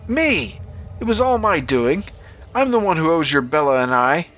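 A man's voice: medium pitch, normal speed, a bit bright, voice pushed up, close to an everyday voice. A man voicing a desperate, protective farmer and father in a storybook reading, earnest and pleading.

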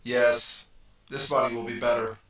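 A man voicing a cold, bossy alien entity that speaks in a stern, flat, commanding way. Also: medium pitch, a little slow, heavy reverb, distant mic, close to an everyday voice, low-quality recording.

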